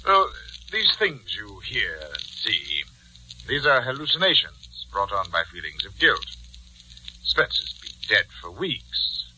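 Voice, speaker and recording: A man playing a shrewd, suspicious doctor in a 1940s radio drama, measured, formal and pointed, on an old broadcast recording. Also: lowish voice, big pitch swings. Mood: probing, explanatory. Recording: faintly noisy vintage radio recording.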